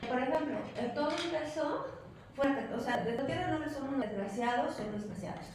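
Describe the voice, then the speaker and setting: A woman in a casual group discussion, talking in a lively, chatty way that jumps between thoughts. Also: high-pitched, fairly quick. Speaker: discussion participant. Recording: echoey noisy room, far from the mic.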